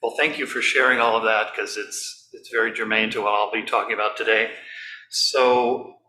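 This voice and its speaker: A man giving an informal talk to a group, relaxed and halting, with many fillers. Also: fairly low pitch. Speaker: speaker leading a group talk. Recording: echoey room.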